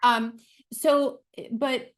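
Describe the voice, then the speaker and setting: A woman's voice: on the high side, steady tone, drawn-out words. A woman hosting a podcast, explaining her view thoughtfully and affirmingly, often checking in with "right?". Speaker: podcast host. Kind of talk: conversational podcast commentary.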